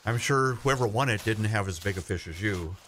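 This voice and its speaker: An actor playing a gentle, consoling fishing companion in a radio play, with a reassuring manner. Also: male voice, fairly low pitch, brisk, a little hiss or hum, lightly acted. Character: gentle, consoling fishing companion man comforting disappointed pal.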